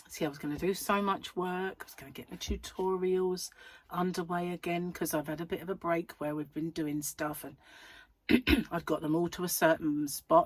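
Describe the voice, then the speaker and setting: A woman hosting a crochet channel, chatting in a rambling, self-mocking way at home while her voice is croaky from a cold. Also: sits low. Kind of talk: casual chatty vlog. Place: at home, seated in a chair.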